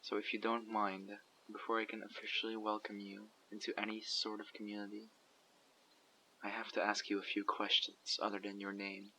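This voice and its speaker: A man voicing a guarded survivor leader who recruits people for a community, asking measured, probing questions in an audio roleplay. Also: lowish voice, completely flat delivery, pushed and bright, slight background noise, rough recording. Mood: calm, probing. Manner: measured, probing, matter-of-fact. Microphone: USB condenser microphone.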